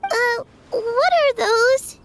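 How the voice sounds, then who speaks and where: A woman's voice: extremely high pitch, expressive, drawn-out words, exaggerated voice. A woman voicing a small, earnest, cheeky village child in a video game, with a childlike, hesitant way of talking. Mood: hesitant, curious. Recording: playful jingly music behind voice.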